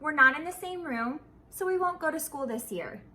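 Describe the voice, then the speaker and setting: Lady doing an eager little kid from a storybook, high and bright, exclaims stuff. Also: lively intonation, moderate pace, a little hiss or hum. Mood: earnest. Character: eager little schoolboy, loyal best friend, in a storybook.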